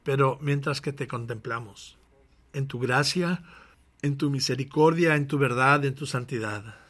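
A man's voice: medium pitch, a little slow, a bit bright. A man preaching in a church, earnestly urging his congregation and then leading them in prayer, often restarting his phrases. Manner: earnest, exhorting, with frequent restarts. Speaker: pastor. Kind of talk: sermon with closing prayer.

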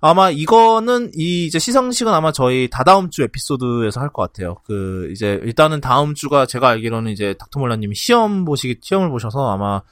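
A man hosting a podcast in a casual, halting way, often clearing his throat between phrases. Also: medium pitch, lively intonation, a bit bright, no echo, clean studio sound. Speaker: podcast host. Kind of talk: casual podcast outro and announcements.

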